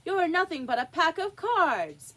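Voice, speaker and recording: A woman voicing a bold, outspoken little girl with a loud, defiant manner in a storybook reading. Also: very high voice, sing-song, unhurried, voice pushed up, exaggerated voice. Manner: loud, indignant.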